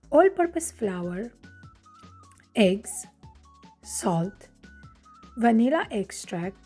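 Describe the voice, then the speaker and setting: A woman's voice: a little high, mellow. Romanian-born woman narrating a recipe. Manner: clear step-by-step instructing. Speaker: cooking channel host. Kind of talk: scripted cooking narration.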